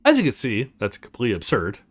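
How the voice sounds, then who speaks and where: A man voicing a dignified, wry, ancient vampire lord in a visual novel, speaking in a formal, measured way. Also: medium pitch, wildly swooping pitch, slightly rough. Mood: wryly amused. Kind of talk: visual novel character voice read aloud.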